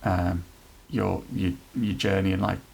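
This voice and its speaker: Guy from an nhs trust talking inclusion on a podcast, earnest, stops and restarts a lot, super gravelly. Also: low voice, little pitch movement, darkish tone. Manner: earnest, halting, many restarts. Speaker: NHS trust leader chairing an inclusion council. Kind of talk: podcast interview answers.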